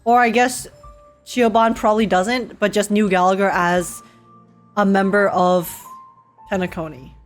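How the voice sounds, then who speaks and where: A woman's voice: medium pitch, fairly even intonation, measured pace, soft background music. A young woman giving casual reaction commentary on a game story, thinking aloud in a chatty way.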